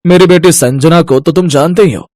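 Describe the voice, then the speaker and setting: An actor playing a genial, plain-spoken older company boss with an avuncular manner in a family storybook tale. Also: male voice, fairly high pitch, moderate pace, darkish tone, no room sound, studio quality, natural voice. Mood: genial, assured.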